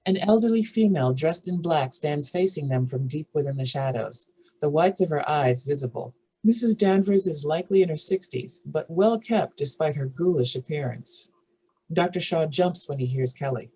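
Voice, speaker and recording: A woman with a husky voice reads scripted narration and stage directions for a drama table read. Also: deep voice, expressive, moderate pace, darkish tone, rough recording.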